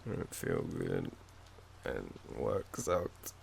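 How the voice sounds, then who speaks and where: A young Australian man with a cold, coughing now and then, murmuring softly and teasingly in an intimate boyfriend roleplay. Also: rumbling low, big pitch swings, very slow, creaky, slight background noise. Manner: soft, drowsy, teasing murmur.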